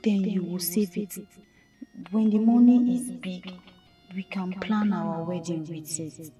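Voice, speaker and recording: A woman playing an eager young woman who hopes to marry, speaking slowly and coaxingly, a little distant, with music underneath. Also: on the low side. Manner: slow, coaxing.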